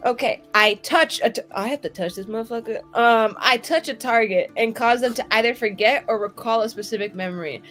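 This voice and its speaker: A woman's voice: a little high, a little fast, few pauses, a bit bright. A woman playing in a tabletop roleplaying show, chatting in a casual, improvised way. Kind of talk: casual improvised game chat. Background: faint music.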